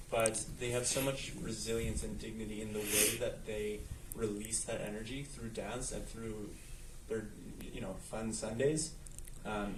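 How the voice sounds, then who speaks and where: A man's voice: lowish voice, noisy background. Earnest man answering in an echoey hall. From United States. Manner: thoughtful, earnest, with fillers. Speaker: film director. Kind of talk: festival Q&A answers. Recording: echoey room, mic at a distance.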